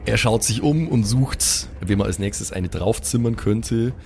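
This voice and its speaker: A man's voice: lowish voice, measured pace, gravelly, a little mellow. A man with a Bavarian accent improvising colloquial, vivid narration as the game master of a tabletop game.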